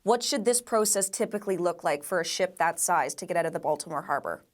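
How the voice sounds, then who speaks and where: A woman's voice: fairly low pitch, lively intonation, fast talker. A woman working as a news anchor, putting questions to a guest in a broadcast interview.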